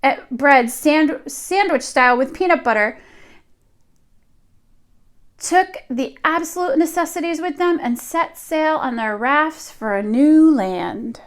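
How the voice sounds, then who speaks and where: Woman, high voice, children's read-aloud, expressive storyteller. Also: slow pace. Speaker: children's story reader, teacher.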